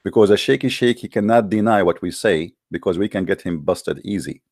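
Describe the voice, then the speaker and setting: Male online debate host, non-native English speaker, low-pitched voice, dry close sound, informal and confrontational delivery. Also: a little fast, a little mellow, no room sound. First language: not English. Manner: challenging, confrontational, with rhetorical questions. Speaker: host of an online religious debate call-in show. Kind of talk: informal polemical monologue to live online audience.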